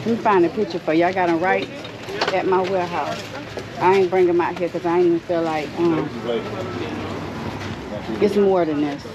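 A woman's voice: fairly low pitch, fairly even intonation, moderate pace, long pauses, dull audio. Woman vendor chatting over market noise. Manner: informal, colloquial. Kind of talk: casual sales chat. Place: under a canopy at an outdoor market. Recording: noisy outdoor market.

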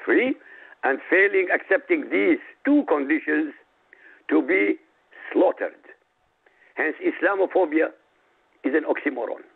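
Gravelly man from Iraq on a phone line. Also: a little high, animated. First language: Arabic. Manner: emphatic, declamatory, lists items slowly. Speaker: radio show guest. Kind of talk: reading a prepared statement on talk radio.

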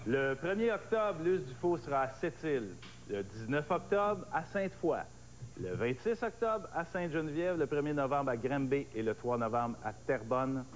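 A man's voice: medium pitch, lively intonation, normal speed, a little hiss or hum, low-quality recording. Man hosting a TV chat. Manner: friendly, teasing, announcing dates. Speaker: TV talk show host. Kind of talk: casual TV interview. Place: indoor TV studio lounge. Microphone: lapel.

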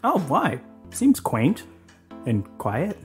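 A woman's voice: very deep voice, huge pitch swings, slow, soft background music, exaggerated voice. A woman playing a level-headed, practical podcast crew leader in naturalistic audio drama dialogue. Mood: dismissive.

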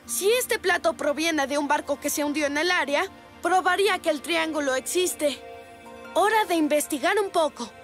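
Very high-voiced eager boy. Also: normal speed, fairly bright tone, soft background music. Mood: curious. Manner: inquisitive, earnest. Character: curious, eager young boy. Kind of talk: animated cartoon dub.

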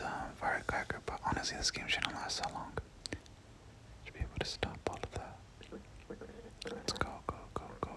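Hushed whispering gamer doing ASMR commentary. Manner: casual, slangy, muttering reactions and repeating phrases. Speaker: gaming video creator.

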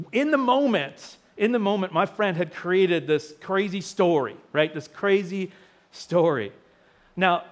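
A man with a sore, hoarse throat preaching from a lectern to a small congregation, his voice sitting a little high for a man. He slips into animated quoted voices while telling a story, then settles into plainer teaching. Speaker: pastor. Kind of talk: sermon. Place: small church hall with seated congregation. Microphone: lectern mic, far camera.

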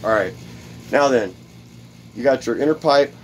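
A man's voice: mid-range voice, lively intonation, moderate pace, a little hiss or hum, low-quality recording. A man in a cluttered home workshop, explaining a build step by step in a casual, rambling way, with plenty of fillers and repeated words. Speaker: DIY video host. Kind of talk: casual workshop how-to demonstration.

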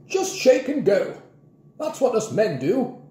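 Pompous, high-pitched old British officer. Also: male voice, big pitch swings, fast, warm tone, reverberant. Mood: irritated. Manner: commanding, clipped military address.

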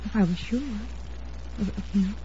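Husky, earnest young woman from radio drama. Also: medium pitch, steady tone, moderate pace, mellow. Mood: hesitant, anxious. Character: earnest, anxious young woman, defensive about her sweetheart. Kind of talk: old-time radio drama acting. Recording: dull old radio recording.

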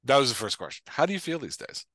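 A man in his forties with a very lively, swooping delivery and a bright, forceful voice. He banters casually as a podcast host, joking at his own expense, close and dry on a headset mic. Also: mid-range voice, fast, dry recording. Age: 40s. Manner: jokey, self-deprecating. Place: indoor room, on a video call.

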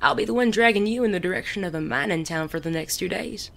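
An actor playing a suave, teasing male gentleman bandit in a Western audio drama, genteel and wry. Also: mid-range voice, fast, creaky. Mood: dry, teasing. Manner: genteel, wry, polite wording.

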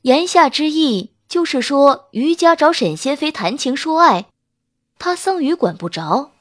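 A woman narrating a romance audiobook with smooth, expressive storytelling. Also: sits high, measured pace. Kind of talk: scripted narration of a romance novel.

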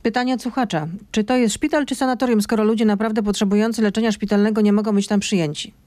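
Female radio host, fifties, medium pitch, pressing news questions, close dry studio mic. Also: fairly even intonation, fast talker, a little mellow, no echo. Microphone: studio microphone close in front.

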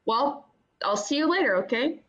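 An actress playing a supportive, teasing younger sister in a radio play. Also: female voice, lively intonation, normal speed, fairly bright tone, slight background noise. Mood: reassuring. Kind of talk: radio play acting, naturalistic dialogue. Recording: dull, muffled low-fidelity microphone.

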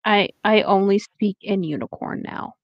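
A woman's voice: medium pitch, moderate pace, no echo. A woman jokes and teases in casual comedy banter. Her recording is dry and slightly dull, with little room sound. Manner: teasing, joking. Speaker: co-host reading fan fiction aloud.

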